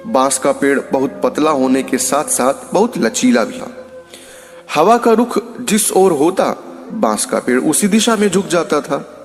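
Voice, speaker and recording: A man's voice: fairly high pitch, very animated, measured pace, long reverb tail, soft background music. A man narrating a scripted moral story as a storyteller.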